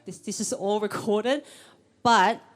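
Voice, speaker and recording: A woman's voice: medium pitch, fairly even intonation, takes it slowly. A young woman hosting a live event, chatty and a bit nervous, with lots of ums. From Australia. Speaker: event host. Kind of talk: live event welcome speech. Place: event room with audience.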